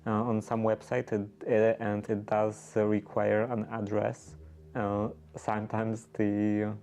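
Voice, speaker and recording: Slow, flat-voiced man. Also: fairly low pitch. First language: Polish. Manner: hesitant, searching for words. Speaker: livestream host. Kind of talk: casual livestream chat while knitting.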